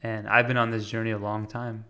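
A man with an American accent talking casually and reflectively as a podcast host, earnest and informal, often pausing to search for words. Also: on the low side, level tone, pushed and bright. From United States. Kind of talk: casual reflective monologue on meditation.

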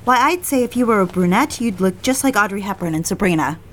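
A woman's voice: medium pitch, animated, quick pace, slight background noise, close to an everyday voice. An actress playing a genteel, eager young society woman in an audio drama, speaking and narrating with proper, polite diction. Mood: admiring, eager. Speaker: lead character and narrator. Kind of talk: audio drama acting with first-person narration.

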